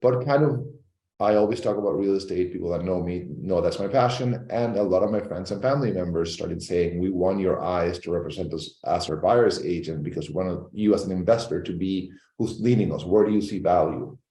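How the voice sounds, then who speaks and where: Man, very low voice, mellow tone, echoey room, animated storyteller. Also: a little fast. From Venezuela. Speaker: real estate agent guest. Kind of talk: interview storytelling.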